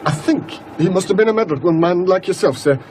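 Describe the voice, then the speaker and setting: An actor playing a deferential, uneasy railway guard, plain-spoken, in a radio horror drama. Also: male voice, high voice, expressive, fairly quick, noisy background. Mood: grave, uneasy.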